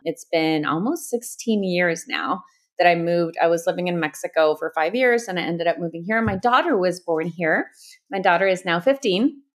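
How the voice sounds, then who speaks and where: A woman in her forties from El Salvador, speaking as a guest on a podcast. Her voice is slightly low and her talk is candid and conversational. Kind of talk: casual interview.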